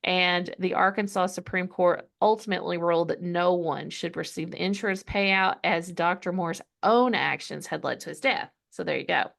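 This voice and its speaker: A woman with a slightly low voice and noticeable vocal fry tells a story as a podcast co-host. She is chatty and conversational, dropping in wry asides as she goes. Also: normal speed, hardly any pauses, a bit bright.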